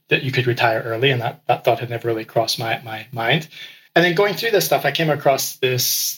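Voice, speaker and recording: A man with a bright, forceful voice speaks as a podcast guest. He grew up in Ecuador and tells his story casually, stumbling into small repeats as he goes. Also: mid-range voice, low-quality recording. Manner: frequent word repeats and restarts. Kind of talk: conversational interview storytelling.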